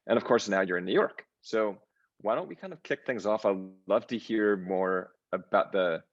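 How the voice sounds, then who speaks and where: A man moderating a fireside chat, introducing the guest in a friendly, measured way. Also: low-pitched, lo-fi sound.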